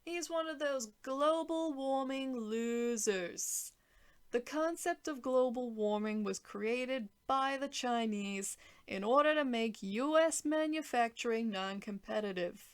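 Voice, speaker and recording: A woman voicing an arrogant male politician who boasts and mocks with drawn-out emphasis. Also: on the high side, smooth, voice pushed up. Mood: mocking, boastful.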